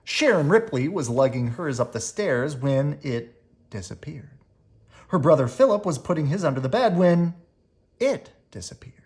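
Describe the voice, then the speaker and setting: A storyteller reading a children's book aloud in an expressive, animated way, leaning on words for dramatic emphasis. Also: mid-range voice, normal speed. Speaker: storybook reader.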